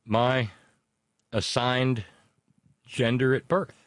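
A man in his late fifties talking candidly as a show host, halting yet emphatic, with folksy colloquial phrasing. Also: medium pitch, little pitch movement, no room sound, studio quality. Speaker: show host taking a call. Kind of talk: candid personal conversation.

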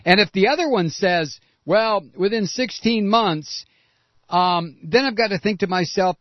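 A man's voice: high-pitched, no echo, lo-fi sound. Blunt, combative male radio host. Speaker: radio talk show host. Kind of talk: political call-in radio talk.

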